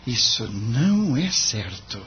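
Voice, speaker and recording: A man's voice: mid-range voice, very animated, slow pace, a bit bright, husky, dull audio, exaggerated voice. An actor playing an eager, inquisitive young male lawyer in an audio drama, polite and hesitant toward his boss. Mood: questioning. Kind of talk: radio drama acting.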